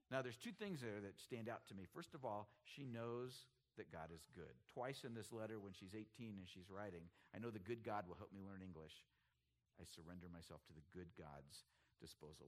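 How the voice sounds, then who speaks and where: Man, middle-aged, pastor, sermon, lively pitch, hushed-to-emphatic delivery. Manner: animated preaching, swings from hushed to emphatic.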